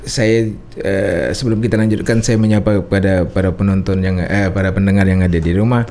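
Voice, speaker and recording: A male guest with a Malay accent speaks politely and unhurriedly, with hesitant fillers, in a low, gravelly voice. A faint room ambience sits behind him in the studio. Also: fairly even intonation, a little fast, mellow, slight background noise. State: unwell with acid reflux. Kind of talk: casual radio interview. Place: radio studio.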